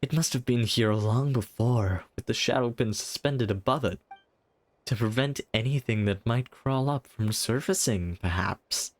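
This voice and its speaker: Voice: mid-range voice, a little slow, no room sound, close to an everyday voice. A game streamer voicing a grave, composed guardian scout who explains ancient legends. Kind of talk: game dialogue read aloud.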